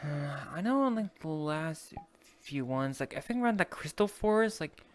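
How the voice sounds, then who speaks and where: A roleplay player talking in character in an online game, halting and rambling as they explain things. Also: medium pitch, lively intonation, a crowd in the background.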